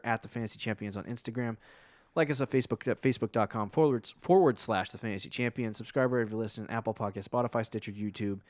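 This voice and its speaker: A man's voice: mid-range voice, dry recording, clean studio sound. A man from New England co-hosting a casual fantasy football podcast, loose and laughing, often trailing off and restarting. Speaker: podcast co-host. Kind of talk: casual sports chat.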